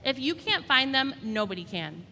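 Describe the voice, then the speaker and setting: A woman's voice: sits high, sing-song, normal speed, sharp tone, exaggerated voice. A woman voicing an earnest, plucky young orphan girl from a storybook. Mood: tender, earnest. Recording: reverberant hall, faint background noise.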